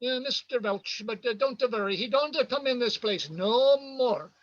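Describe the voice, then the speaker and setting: A man playing a warm, anxious immigrant barkeeper in a radio play, fussing in halting broken English. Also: very high voice. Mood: concerned, pleading. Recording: dull lo-fi video call audio.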